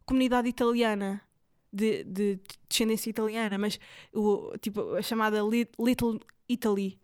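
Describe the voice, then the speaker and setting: A woman's voice: fairly high pitch, clean tone, no echo, studio quality. A woman with a European Portuguese accent, hosting a podcast and chatting casually, rambling with lots of fillers and English words. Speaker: podcast host. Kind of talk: casual chat recommending videos.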